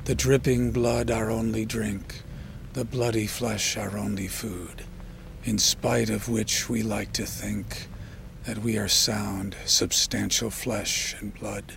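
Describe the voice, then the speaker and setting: A man reading poetry in a measured, solemn, dramatic recitation with deliberate pauses and a gravelly voice that turns breathy at phrase ends. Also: lowish voice, little pitch movement, a bit bright, slight background noise. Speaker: poetry reader. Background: ambient sound design.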